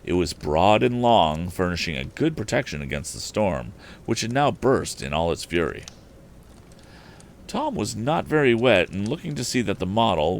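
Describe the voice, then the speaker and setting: Male storyteller, deep register, animated narration of a vintage novel, slight stumbles, faint noise behind. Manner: expressive, with occasional stumbles and restarts. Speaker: storyteller on a live stream. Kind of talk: animated audiobook-style reading of an old adventure novel.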